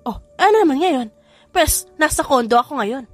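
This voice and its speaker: A woman's voice: high-pitched, animated, normal speed, faint music behind, pitched above the performer's own voice. A woman with a Filipino accent voicing a feisty, defensive young woman with a snappy, indignant manner in an audiobook romance. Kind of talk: audiobook romance character acting.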